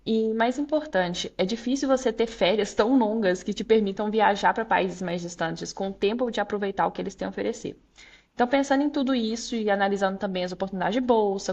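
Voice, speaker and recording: Woman in her twenties, Minas Gerais accent, mid pitch; candid, reflective guest, chatty with fillers. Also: darkish tone. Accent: Minas Gerais Portuguese. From Brazil, Minas Gerais. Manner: reflective, candid storytelling with frequent "é" fillers and laughs. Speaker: guest, law graduate student. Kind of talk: casual interview chat.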